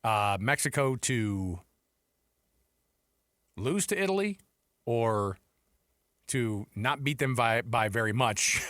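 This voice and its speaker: A man's voice: mid-range voice, a bit bright, dry recording, clean studio sound. A man with an American accent hosting a sports radio show in a casual, conversational style.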